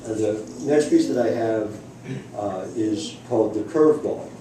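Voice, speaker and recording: Mellow-voiced man reading in an echoey room. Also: fairly low pitch, measured pace. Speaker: reader at a library book reading. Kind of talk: reading a prepared essay aloud to an audience. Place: indoor room at a public library event. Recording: echoey room, distant mic, faint noise.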